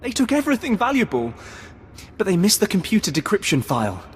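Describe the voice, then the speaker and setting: A man's voice: high voice, wildly swooping pitch, fast, a little hiss or hum. A man playing a nervous, cowed Imperial computer technician in a video game, anxious, hesitant and pleading. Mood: nervous confession. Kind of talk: scripted video game acting. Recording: long reverb, game music behind.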